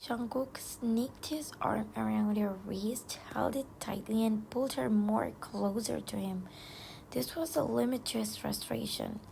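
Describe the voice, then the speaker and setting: A woman whispering a romance story aloud in a slow, halting read-aloud manner. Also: on the high side, vocal fry, a little mellow, a little hiss or hum, rough recording. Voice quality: unvoiced whisper. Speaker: fan fiction reader.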